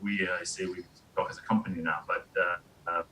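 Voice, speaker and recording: Bright-voiced Israeli man being interviewed. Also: low voice, flat delivery, a little fast, slight background noise, poor audio. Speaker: interview guest, industrial designer. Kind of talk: hesitant interview answers. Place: remote internet call.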